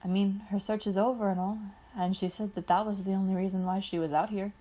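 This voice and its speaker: A woman's voice: medium pitch, steady tone, quick pace, warm tone, lightly acted. A woman voicing a joking, boastful young male pilot in a storybook reading.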